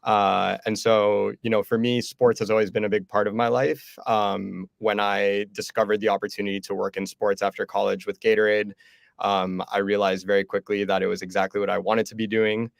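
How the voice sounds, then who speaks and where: Man from Miami, 30s, flat low delivery, dry sound, steady guest. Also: normal speed, gravelly, no echo. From Miami, Florida. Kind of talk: casual interview answers.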